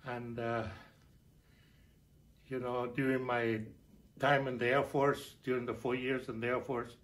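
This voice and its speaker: An older man from Chile with a Chilean Spanish accent, chatting at home in a halting way, full of fillers and restarts. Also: mid-range voice, level tone. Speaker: interviewee. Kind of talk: casual conversation. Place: living room at home, indoors.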